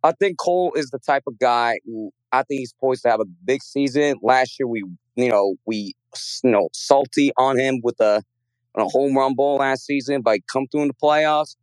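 Man, caller, losing his voice, halting, filler-heavy, smooth tone, dry close sound. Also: medium pitch, no echo, rough recording. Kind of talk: call-in chat.

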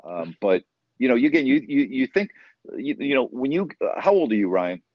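A man's voice: mid-range voice, sing-song, a little fast, dry recording. A man in his fifties from New York, a sports broadcaster speaking as an interview guest, earnest and emphatic, repeating words as he stresses his points. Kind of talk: conversational interview storytelling. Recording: dull, dry sound.

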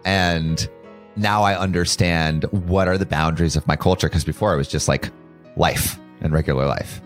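A man's voice: deep voice, steady tone, moderate pace, soft background music. A man hosting an interview podcast, reading an intro and asking reflective questions. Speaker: podcast host.

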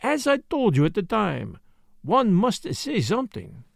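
Man acting a dapper, shrewd foreign detective, lively high delivery. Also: gravelly, dry recording, clean studio sound, heavily acted. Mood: apologetic. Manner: formal, precise, measured phrasing with French interjections.

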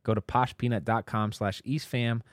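A man co-hosting a podcast, reading an ad in a steady, friendly way. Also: mid-range voice, normal speed, a little hiss or hum. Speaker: podcast co-host. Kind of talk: host-read ad.

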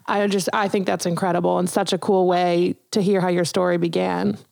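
A woman's voice: lowish voice, flat delivery, brisk, creaky, a little mellow, no room sound. A young woman co-hosting an interview, enthusiastic and effusive in her praise. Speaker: podcast co-host. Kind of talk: casual interview chat.

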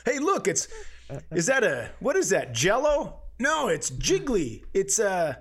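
An American man co-hosting a comedy podcast, telling stories casually with animated, profane riffs. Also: high voice, a little slow.

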